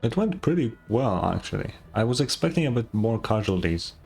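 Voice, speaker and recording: A man's voice: fairly low pitch, sing-song, a little fast, vocal fry, darkish tone, slightly rough. A young man casually reacting to a game story as a streamer, laid-back with short interjections. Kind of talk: casual live reaction commentary. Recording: game music behind the voice.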